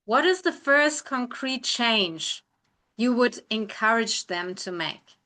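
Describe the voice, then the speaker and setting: A woman's voice: on the high side, expressive, slow, pushed and bright. A woman hosting an interview in a polite, structured way. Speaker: interviewer, host.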